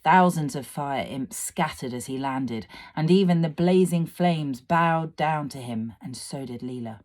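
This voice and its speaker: A woman narrating a story expressively, with a dramatic and deliberate delivery. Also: low-pitched, darkish tone.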